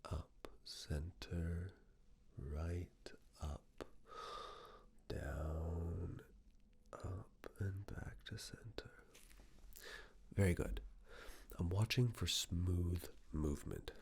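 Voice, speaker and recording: Man, whispered ASMR exam roleplay, deep gravelly tone, soothing short instructions. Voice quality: breathy, husky whisper mixed with very low soft voice. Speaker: ASMR roleplayer playing a medical examiner.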